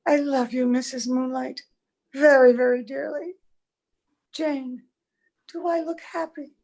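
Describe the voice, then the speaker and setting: A woman playing a weary, serene dying woman in a radio play, tender and halting, with a soft creaky voice. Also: sits high, steady tone, exaggerated voice. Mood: tender, blissful. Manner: halting, repeating phrases tenderly. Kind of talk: old-time radio play acting.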